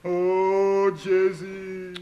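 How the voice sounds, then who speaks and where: A man's voice: high voice, completely flat delivery, lo-fi sound, a crowd in the background. An actor playing a deferential, lamenting male plantation servant in a 1960s French radio drama. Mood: wailing grief. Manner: lamenting, drawn-out, submissive.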